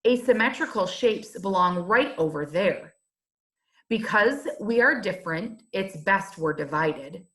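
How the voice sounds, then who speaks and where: Woman playing stuffy male square, storybook character, pompous, grumbly, deliberate slow pace, swinging pitch. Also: lively intonation, a bit bright. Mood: pompous, dismissive.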